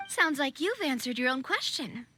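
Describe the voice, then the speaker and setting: Bright, animated young woman leader. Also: high-pitched, moderate pace, exaggerated voice. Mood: wry, recounting. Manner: self-assured, breezy. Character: confident, upbeat young female leader, breezy and friendly. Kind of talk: video game voice acting.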